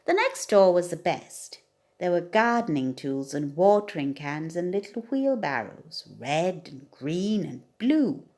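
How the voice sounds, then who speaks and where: A woman reading a children's bedtime story aloud as an expressive narrator. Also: fairly low pitch, a little slow. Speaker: storybook reader.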